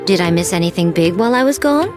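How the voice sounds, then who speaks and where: A woman's voice: on the low side, lively intonation, fast, over music, close to an everyday voice. A woman voicing a poised, wry, teasing lady in an anime-style video game, composed and arch.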